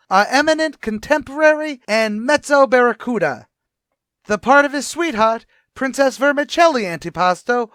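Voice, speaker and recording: A man's voice: extremely high pitch, fairly bright tone, clear, resonant tone, no room sound, clean studio sound, exaggerated voice. A man playing a brash, cocky tavern manager who mangles his words in an old-time radio comedy. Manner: showy, uneducated wisecracking patter with malapropisms.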